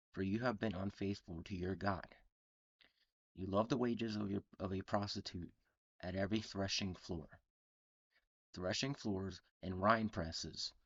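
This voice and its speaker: Deep-voiced, congested man reading scripture slowly. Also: a bit bright, no echo, muffled recording. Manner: halting reading with frequent restarts and throat clearing. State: congested from a cold. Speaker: Bible reading channel host. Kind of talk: reading scripture aloud and explaining it.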